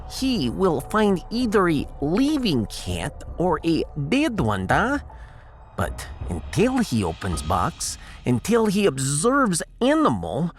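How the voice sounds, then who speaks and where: A man's voice: sits high, full character voice. Man voicing a haughty Russian woman scientist, wildly swooping. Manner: lecturing, dropping articles, teasing. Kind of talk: science fiction audiodrama acting.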